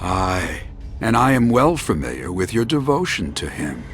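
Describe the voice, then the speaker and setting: Wise old retired hero from a fantasy game, deep voice, stately old-timey wording, loud music behind. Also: male voice, big pitch swings. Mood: calm, persuasive. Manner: formal, archaic, measured diction. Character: wise, courtly old former hero, self-deprecating. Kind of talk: scripted video game acting.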